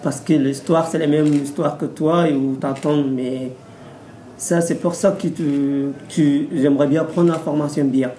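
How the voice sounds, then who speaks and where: Mellow-voiced man in an echoey room. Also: a little high, steady tone, unhurried, a little hiss or hum. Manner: simple, plain phrasing. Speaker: shower service worker. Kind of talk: testimonial interview.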